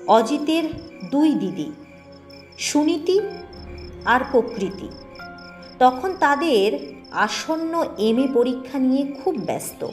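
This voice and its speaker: Casting: female audio story narrator, high voice, unhurried delivery, faint music underneath. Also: lively intonation. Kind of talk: scripted first-person ghost story narration.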